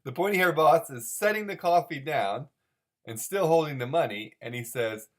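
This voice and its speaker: A man's voice: fairly high pitch, lively intonation, normal speed. A man hosting a solo commentary show, talking off the cuff in a mock-serious, overanalytical way and repeating phrases for emphasis. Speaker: host of a comic-strip commentary show. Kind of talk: rambling, unscripted solo commentary.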